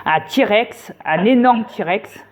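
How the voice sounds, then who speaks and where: A woman's voice: medium pitch, lively intonation, very slow, a little hiss or hum. A woman lecturing viewers insistently in a rambling casual monologue, with many fillers. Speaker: conspiracy video host.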